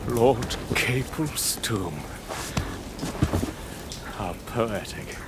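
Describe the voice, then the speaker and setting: An actor playing a proud, tormented, weary lord in a gothic audio drama, speaking in halting, archaic, theatrical phrases. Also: male voice, medium pitch, expressive, very noisy. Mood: brooding, morbid wonder.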